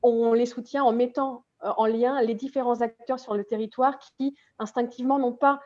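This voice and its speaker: A woman's voice: fairly high pitch, steady tone, moderate pace, a little mellow, highs cut off. A woman speaking as a health agency official, giving an explanatory interview answer.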